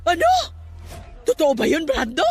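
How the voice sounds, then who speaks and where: Stern, superstitious aunt with swooping voice. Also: female voice, high voice, huge pitch swings, normal speed, soft background music. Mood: alarmed. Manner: scolding, emphatic. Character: stern, superstitious, scolding middle-aged Filipino aunt. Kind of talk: radio drama acting.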